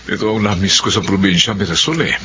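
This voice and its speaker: A man playing a gentle, God-fearing retired soldier father in a radio drama, with a Filipino accent. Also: sits low, quick pace, close to an everyday voice. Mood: fond, proud. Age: older. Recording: dull lo-fi radio sound, faint music.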